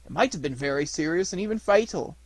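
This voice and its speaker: A man's voice: a little high, expressive, fast talker. A man voicing a little old cottager in a storybook, blunt and emphatic but kindly. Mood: informative. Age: elderly.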